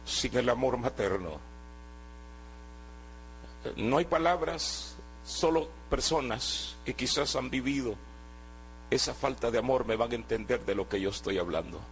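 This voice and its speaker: A man's voice: medium pitch, expressive, unhurried, vocal fry, pauses often, a bit bright, slight background noise, low-quality recording. A man from Guatemala, giving an earnest sermon testimony and often restarting his words. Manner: earnest, exhorting, with hesitant restarts.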